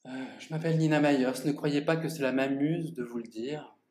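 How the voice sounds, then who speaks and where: A man performs a public literary reading, turning his measured, theatrical lines straight at the listeners. His voice sits at an ordinary middle pitch. The sound bounces around a roomy gallery space. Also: fairly even intonation, darkish tone, slight background noise. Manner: measured, theatrical delivery addressing the audience directly. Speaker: author reading his own text. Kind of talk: public literary reading, first-person monologue. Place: art gallery. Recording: echoey gallery room.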